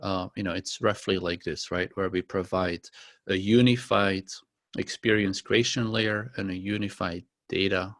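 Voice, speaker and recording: Middle-aged man, Dutch native, relaxed presenter with fillers; dry, close sound. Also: low-pitched, moderate pace, no echo. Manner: relaxed, uses many fillers and restarts. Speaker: company founder presenting to partners. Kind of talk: corporate presentation.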